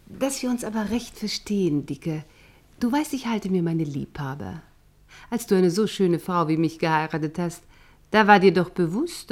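Vain stuck-up wife in a radio play, bossy n cutting, bit of a flirt, voice swoops around a lot. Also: female voice, fairly low pitch. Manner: imperious, cutting, coquettish. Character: vain, haughty, mocking actress wife. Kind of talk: radio drama acting.

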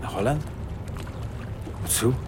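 A man's voice: takes it slowly, a little hiss or hum, natural voice. An actor playing an evasive, defensive male police detective in a radio drama, speaking colloquially. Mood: exasperated.

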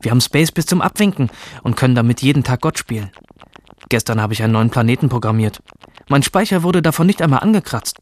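A man's voice: mid-range voice, animated, fast, lightly acted. An actor playing an obsessive, rebellious male hacker from a virtual future world, narrating a radio drama in the first person. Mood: cynical, boastful. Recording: music under the voice.

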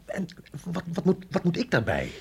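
A man's voice: high voice, huge pitch swings, normal speed, vocal fry, breathy edge, full character voice. An actor playing a reluctant, doubting male agent in a radio drama, hesitant and questioning. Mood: reluctant, protesting. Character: reluctant, doubting male agent, younger than his superior.

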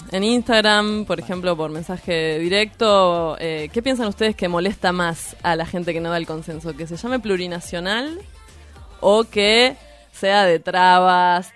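A woman with a Rioplatense Argentine accent, giving a news column on radio in an informal, halting, chatty way. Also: on the low side, clear, resonant tone. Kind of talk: casual news column chat.

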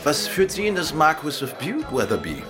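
An actor playing a courteous, urbane gentleman detective with a composed manner in a radio crime drama. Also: a little high, wildly swooping pitch, normal speed, over music. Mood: composed, inquiring. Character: suave, courteous male gentleman amateur detective.

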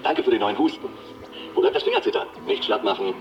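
An actor playing a cynical, domineering pharmaceutical boss in a radio drama, brusque and patronising. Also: male voice, fairly low pitch, wildly swooping pitch, fast talker. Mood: breezy, commanding. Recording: muffled sound with music underneath.

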